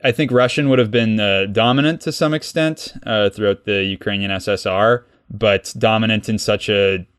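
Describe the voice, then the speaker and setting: Deep-voiced man, rambling podcast talk. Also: steady tone, moderate pace, hardly any pauses, fairly bright tone, clear, resonant tone, no room sound, clean studio sound. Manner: rambling, many fillers and restarts. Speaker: podcast co-host, guest expert. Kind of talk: casual podcast discussion.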